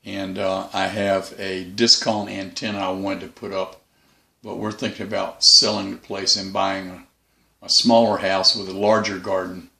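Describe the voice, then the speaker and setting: A man's voice: low voice, flat delivery, measured pace, creaky, sharp tone. A middle-aged man giving a casual, unscripted product review in a rambling, folksy, opinionated way. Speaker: radio hobbyist reviewer. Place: room in a house.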